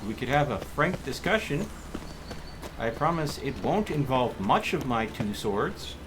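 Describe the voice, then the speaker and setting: A man's voice: medium pitch, big pitch swings, a little slow, noisy background. A man giving casual commentary as he streams himself playing a video game. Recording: game music playing behind the voice. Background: video game music.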